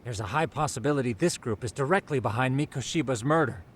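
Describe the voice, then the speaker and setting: A man's voice: animated, fast talker, close to an everyday voice. An actor playing a brainy, fussy male investigator in a game dub, articulate and matter-of-fact. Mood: analytical. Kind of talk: dubbed video game cutscene acting. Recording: rumbling noise behind the voice.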